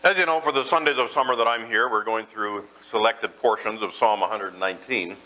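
A man's voice: lowish voice, a little fast, sharp tone, rough recording. A man preaching a sermon in a church, folksy and self-deprecating as he mixes jokes with scripture. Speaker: pastor.

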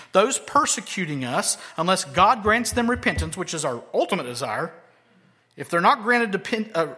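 A man preaching an expository sermon, moving between loud, emphatic lines and quiet, low asides. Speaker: pastor.

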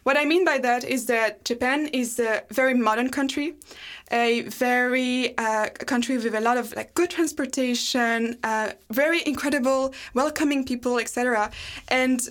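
A woman's voice: on the high side, fairly even intonation, normal speed. A young woman hosting a casual talk show, chatty, with many fillers and restarts. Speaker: host.

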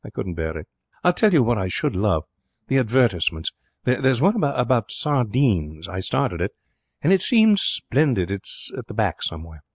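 A man's voice: on the low side, big pitch swings, moderate pace, no echo. Man putting on a spirited, gushing young woman. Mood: languid, pleased. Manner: gushing, emphatic. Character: spirited young woman, petulant, dreamy, dramatic.

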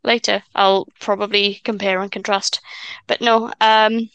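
Welsh-speaking woman, 20s, stuttering guest chatting; bright, clean, dry close sound. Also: mid-range voice, little pitch movement, clear, resonant tone, no echo, dull audio. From Wales. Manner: stammers and restarts, stutter; chatty, quick asides and laughs. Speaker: podcast guest. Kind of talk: casual chat.

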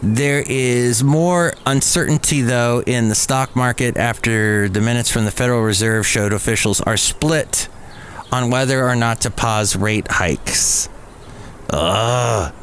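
A man's voice: mid-range voice, unhurried, fairly bright tone, slight background noise. A man hosting a podcast, reading news items and commenting casually, putting questions to the listener. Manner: conversational, puts questions to the listener. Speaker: podcast host. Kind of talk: solo news commentary mixing read items with casual asides.

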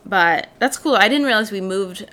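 A woman from Sweden in her thirties, co-hosting a casual podcast chat with soft, frequent backchannels. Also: mid-range voice, animated, moderate pace. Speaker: podcast co-host.

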